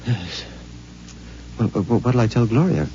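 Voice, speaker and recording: A man's voice: fairly low pitch, animated, normal speed, dark tone, close to an everyday voice. Guy playing a jumpy stammering man, old radio drama, muffled and hissy. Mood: anxious. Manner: stammering, exclaims in alarm. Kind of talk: 1950s radio drama acting. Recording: dull lo-fi 1950s radio broadcast with faint hiss.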